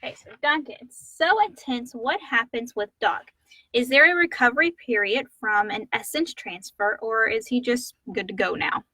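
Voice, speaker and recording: Lady cohost reading fan questions, chatty, slightly high voice, no room echo at all. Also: moderate pace, sharp tone. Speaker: co-host reading listener questions. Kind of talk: casual Q&A chat, reading questions aloud.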